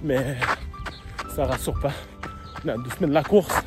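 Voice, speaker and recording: A man's voice: medium pitch, normal speed, over loud music. A man narrating a vlog.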